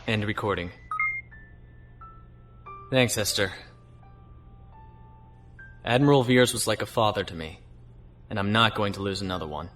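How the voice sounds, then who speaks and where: A man's voice: lowish voice, a little slow, pauses often, fairly bright tone, soft background music. A man playing a weary, principled starship captain in a science fiction audio drama, reflective and earnest.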